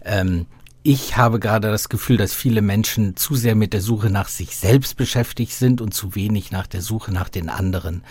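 A man from Bavaria speaking standard High German as an interview guest, thoughtful and reflective, with halting phrasing. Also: a little mellow, slightly rough, no echo. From Bavaria, southern Germany. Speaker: guest, theatre festival director.

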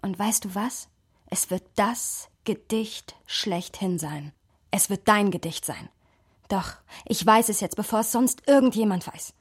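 A woman's voice: medium pitch, measured pace, husky, no echo. An actress playing a candid, sensitive, bookish woman talking with a close friend in a radio drama. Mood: certain, tender.

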